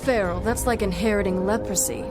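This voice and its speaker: An actress playing a cocky, tough half-vampire heroine in a video game, with a wry, mocking delivery. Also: female voice, mid-range voice, brisk, music in the background, close to an everyday voice. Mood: sardonic.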